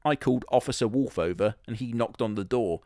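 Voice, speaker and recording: A man's voice: mid-range voice, a little fast, mellow, dry recording, clean studio sound. A man telling a crude comic story in a sardonic, matter-of-fact way, swearing casually. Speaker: comedy narrator in character.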